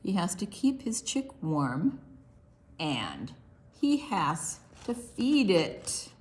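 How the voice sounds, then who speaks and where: A woman reading a picture book aloud for preschoolers, expressively and deliberately, with quiet asides to the young listeners. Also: fairly low pitch, very animated, a little hiss or hum. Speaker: children's librarian hosting online storytime.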